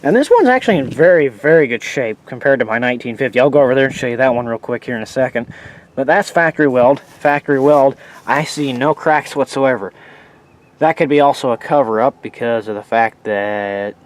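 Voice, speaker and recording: A man's voice: mid-range voice, lively intonation, brisk. A man showing an old tractor and rambling through his restoration plans, a little sniffly, with restarts and asides as he works outdoors. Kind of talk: casual walkaround vlog. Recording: noisy outdoor handheld recording.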